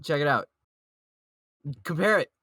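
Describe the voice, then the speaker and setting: A man's voice: fairly high pitch, animated, measured pace, sharp tone, no room sound, clean studio sound. A man chatting casually and playfully as a podcast co-host on an online voice call. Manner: playful, jokey. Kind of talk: casual chat about manga.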